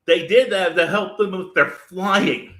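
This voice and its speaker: A man's voice: high voice, measured pace, fairly bright tone, roomy sound. A man in his thirties chatting casually as a podcast co-host, enthusiastic and emphatic. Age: 30s. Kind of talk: casual chat.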